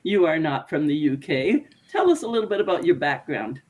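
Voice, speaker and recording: A woman's voice: low-pitched, big pitch swings, a little fast, darkish tone. A woman from Canada hosting a casual interview over a video call, chatty and laughing often. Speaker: podcast host.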